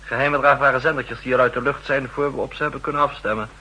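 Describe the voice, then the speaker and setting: An actor playing an eager, earnest young intelligence officer with some stubbornness, in the style of a 1960s radio drama. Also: male voice, mid-range voice, fairly even intonation, fast, sharp tone, breathy edge, low-quality recording. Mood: frustrated, reporting. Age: young adult.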